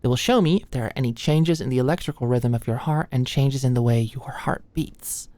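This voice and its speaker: A woman playing an anxious, sweet and awkward young female medical student in a soft, intimate ASMR roleplay, with a hesitant manner. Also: extremely low pitch, fairly quick, no echo. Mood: earnest, explaining. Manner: nervous, hesitant, stammering.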